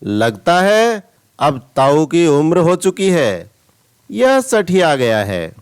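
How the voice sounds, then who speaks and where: A man reads a young storybook goose who scoffs at his elder. The delivery is dismissive and mocking, yet slow, with a melodic, higher-set pitch. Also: wildly swooping pitch, smooth, dry recording, clean studio sound, full character voice. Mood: scornful. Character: young scoffing male geese doubting their elder.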